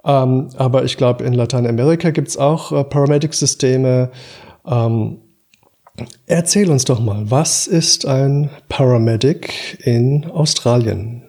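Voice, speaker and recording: A man's voice: mid-range voice, unhurried, mellow. A man hosting a podcast, chatting in a friendly way and asking his guest questions. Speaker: podcast host. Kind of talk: casual podcast interview.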